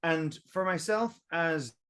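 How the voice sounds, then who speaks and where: A young Irish man chatting on a history podcast, animated and emphatic, thinking aloud as he goes. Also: fairly high pitch, slow pace, clean tone. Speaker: guest history video creator. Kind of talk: casual roundtable podcast chat.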